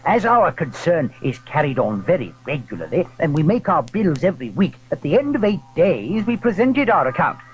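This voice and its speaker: An actor playing a fussy, obsequious French innkeeper in an audio drama, hesitant and deferential, humming and hawing. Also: male voice, on the high side, big pitch swings, normal speed, hardly any pauses. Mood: hesitant complaint. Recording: dull lo-fi 1980s audio drama, faint music.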